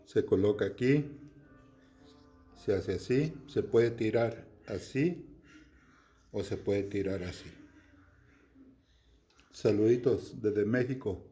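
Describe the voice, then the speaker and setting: Slow, mellow-voiced man in his fifties. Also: on the low side, soft background music. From Texas. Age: 50s. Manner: plain, unhurried explaining with pauses and restarts. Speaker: slingshot shooter. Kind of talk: casual demonstration to camera.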